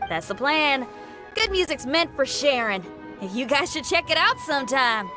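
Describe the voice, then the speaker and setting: A woman's voice: high-pitched, sing-song, moderate pace, over music. A woman voicing a spirited, friendly young female musician in a video game, with a folksy, casual way of talking. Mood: enthusiastic. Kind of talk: animated video game character acting.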